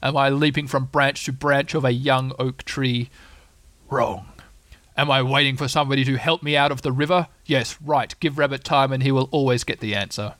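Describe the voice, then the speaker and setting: A man voicing a gloomy, dignified male donkey in a storybook, with slow, cold, deadpan sarcasm. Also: mid-range voice, no echo, close to an everyday voice. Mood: dry, sarcastic.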